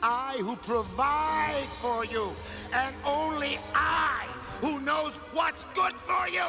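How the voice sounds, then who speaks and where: A man's voice: extremely high pitch, slow pace, exaggerated voice. An actor playing a tyrannical male cartoon villain who rules over children, grandiose and possessive. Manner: grandiose, possessive, shouting orders. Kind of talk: animated series voice acting. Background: loud score music.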